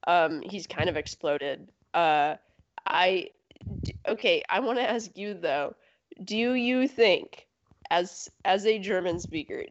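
Young woman co-hosting a podcast, kinda low voice, rambly, muffled lo-fi sound. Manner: rambling, self-interrupting, laughing asides. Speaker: podcast co-host. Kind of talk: casual chat. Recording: muffled lo-fi audio.

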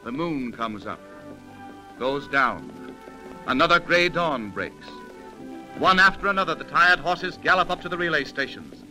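A man's voice: a little high, lively intonation, a little slow, pauses often, rough recording. A man narrating a 1930s radio drama in a grave, theatrical storytelling manner. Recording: old 1930s radio recording, music behind.

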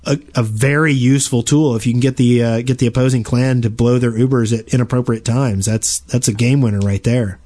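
Man, medium pitch, podcast co-host, casual discussion, explanatory, halting with repeated words. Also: steady tone, warm tone. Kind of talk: casual panel discussion about video games.

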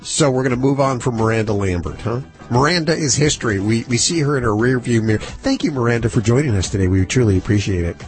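A man's voice: medium pitch, fast, hardly any pauses, soft background music. A man hosting a radio show about pets, chatting in a dry, joking way. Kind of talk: casual radio banter.

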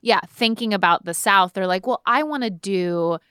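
A woman's voice: medium pitch, normal speed, sharp tone, clear, resonant tone, no room sound, studio quality. A woman co-hosting a casual podcast chat, phrasing her thoughts tentatively with lots of "like". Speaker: podcast co-host.

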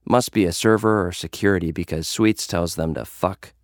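Deep-voiced man narrating a dark drama. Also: moderate pace, no room sound, studio quality. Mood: brooding, cynical. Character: cocky, jaded young male influencer gladiator. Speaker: narrator and lead character of an audio fiction. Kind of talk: first-person dramatic narration.

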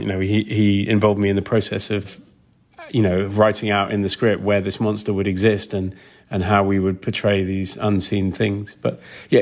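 A man with a fairly low voice speaks as an interview guest explaining his craft. His delivery is casual and reflective, a little halting as he thinks aloud and rewords his sentences. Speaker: film sound designer, interview guest.

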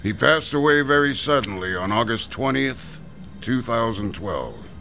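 An actor playing a chatty, folksy gravestone carver who sighs about the heat, in classic radio drama style. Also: male voice, mid-range voice, big pitch swings, unhurried, creaky, bright, forceful tone, faint music behind, exaggerated voice. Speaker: stonecutter.

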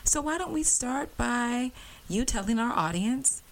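A woman's voice: fairly high pitch, expressive, measured pace. A woman co-hosting a podcast, interviewing in a conversational style.

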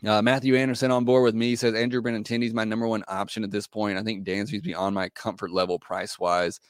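A hoarse man with a lowish voice hosts a casual podcast, working through listener comments. He talks in a loose, hesitant way with plenty of fillers, recorded close and dry with no room sound. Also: flat delivery, quick pace, dry recording. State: hoarse, losing his voice. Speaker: podcast host.

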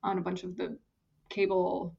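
A woman's voice: fairly low pitch, completely flat delivery, moderate pace, vocal fry, highs cut off. A young woman from Germany, an actress and stunt performer, chatting casually as an interview guest, often restarting her phrases.

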